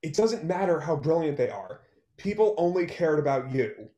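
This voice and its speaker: A man's voice: fairly high pitch, very animated, normal speed, dark tone, muffled recording. Liam, bitter songwriter acted by a man, echoey. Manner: terse, sarcastic. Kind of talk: online one-act play table read. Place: small room at home, video call. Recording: roomy, echoey video-call sound.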